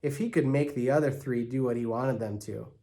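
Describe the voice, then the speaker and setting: A man plays a rough young boy in a storybook. He is bossy and assertive, a blunt know-it-all speaking at a medium pitch. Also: brisk, mellow, close to an everyday voice.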